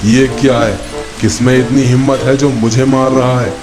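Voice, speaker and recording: A man's voice: mid-range voice, little pitch movement, normal speed, over loud music, close to an everyday voice. A man voicing a frightened, bewildered male tiger, blustering and exclaiming in panic. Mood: alarmed, panicky. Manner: panicky exclamations, muttered questions to himself.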